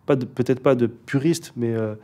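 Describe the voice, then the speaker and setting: A man's voice: mid-range voice, moderate pace, mellow. A young man, a rapper, chatting casually in an interview, laid-back and hesitant, with many fillers and restarts. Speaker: rapper guest.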